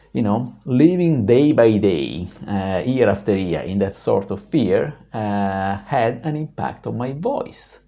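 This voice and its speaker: A man with a deep baritone voice tells a personal story as a vlog host, reflective and full of pauses and fillers. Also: dark tone, lo-fi sound.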